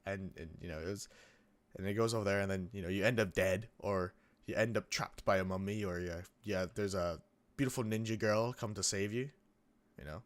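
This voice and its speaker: A young man hosting a gaming channel, chatting casually over gameplay in a rambling way with lots of restarts, his voice a bit croaky from coming down with a cold. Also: low-pitched, brisk. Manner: rambling, many restarts and fillers. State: getting sick, a bit croaky.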